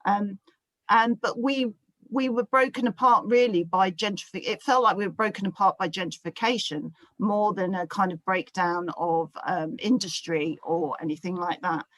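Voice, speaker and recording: A woman in her fifties from South London with a London accent, telling her own story in a live discussion and pausing often on fillers. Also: medium pitch, fairly even intonation, fairly bright tone, dry recording, rough recording. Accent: London English. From South London, England. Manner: hesitant, many ums and fillers. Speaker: audience member asking a question. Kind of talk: casual personal remarks in a live discussion.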